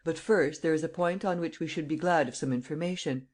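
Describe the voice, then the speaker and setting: A reader voicing a calm, commanding police chief who questions in a measured, authoritative way. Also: medium pitch, close to an everyday voice. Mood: firm.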